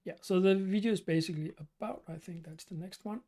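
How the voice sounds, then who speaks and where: A man from Denmark with a Danish accent hosts his channel's live stream Q&A. He talks in a halting way, with many fillers and restarts. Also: high voice, dark tone. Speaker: channel host.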